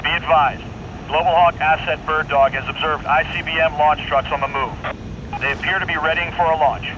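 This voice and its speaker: An actor playing a brisk, businesslike military mission controller giving procedural radio briefings. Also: male voice, fairly high pitch, fairly even intonation, highs cut off, close to an everyday voice. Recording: distant radio voice, aircraft noise.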